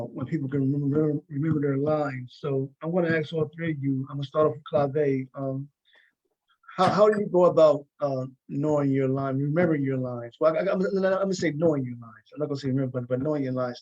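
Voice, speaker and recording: A man's voice: fairly high pitch, dark tone, dull audio. A man hosting a talk show, interviewing conversationally and often repeating words as he forms his questions.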